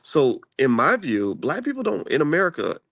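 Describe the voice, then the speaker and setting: Gravelly-voiced man chatting casually. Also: on the high side, wildly swooping pitch, moderate pace, fairly bright tone, dry recording, clean studio sound. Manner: rambling, restarts, conversational. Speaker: channel host. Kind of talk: casual political chat. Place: traveling, in a room before a flight.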